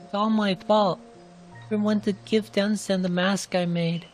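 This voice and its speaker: A woman's voice: medium pitch, steady tone, measured pace, a little mellow, lightly acted. A woman voicing an anxious, guilt-ridden young girl in a fantasy game cutscene, pleading and plaintive. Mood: guilty, upset. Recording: music behind the voice.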